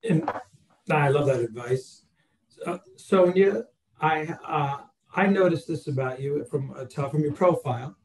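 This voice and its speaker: Fairly high-voiced male podcast host. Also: animated, measured pace, darkish tone, reverberant, poor audio. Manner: hesitant, many uh fillers. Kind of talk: casual interview chat.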